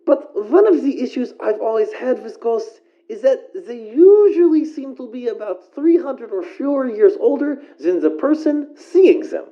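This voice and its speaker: Paranormal radio host: female, theatrical and musing, very animated delivery, dull lo-fi sound. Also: high-pitched, unhurried, few pauses. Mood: intrigued, musing. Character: female host of an uncanny late-night radio show. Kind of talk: scripted radio show presenting.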